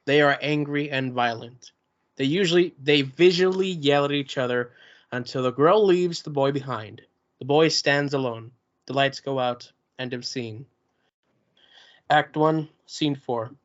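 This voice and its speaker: Man reading stage directions. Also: medium pitch. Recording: dull lo-fi recording.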